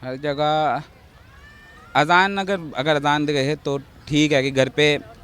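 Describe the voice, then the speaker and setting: A man giving a measured opinion in an outdoor street interview, mixing in English words. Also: fairly high pitch, fairly even intonation, fairly bright tone, clear, resonant tone. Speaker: member of the public giving opinion.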